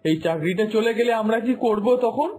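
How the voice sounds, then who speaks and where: A man voicing a homesick, hardworking son in a cartoon drama, earnest and complaining, with a husky voice. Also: high-pitched, a little fast, darkish tone. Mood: upset, bewildered. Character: homesick, hardworking son working far from home. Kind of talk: Bengali cartoon drama dubbing.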